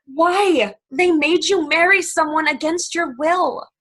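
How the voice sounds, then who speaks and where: A woman's voice: very high voice, takes it slowly, a bit bright, full character voice. A woman acting a playful, impatient young mistress of the 1950s at a stage play table reading. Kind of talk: stage play table read, romantic dialogue.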